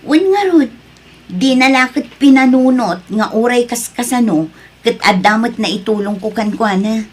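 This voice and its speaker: A woman's voice: on the high side, moderate pace, lightly acted. A woman with an Ilocano Filipino accent playing a well-meaning but hurt friend in an audio drama, speaking with reproach.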